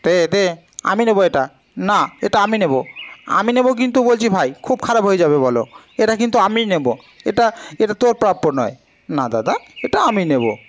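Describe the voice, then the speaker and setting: Man acting a high, petulant squabbling village brother. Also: very animated, moderate pace. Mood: quarrelsome. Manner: quarrelsome, insistent. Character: squabbling village brothers, petulant men arguing over inheritance. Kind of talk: cartoon folk-tale character voice.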